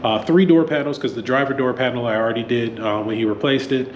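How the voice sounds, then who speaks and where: Male host with a head cold, casual how-to explainer, roomy echo, steady fan hum behind. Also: medium pitch, quick pace. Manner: relaxed, many ums and restarts. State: stuffy from a cold. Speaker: auto mechanic channel host. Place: home garage workspace. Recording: echoey room with fan noise.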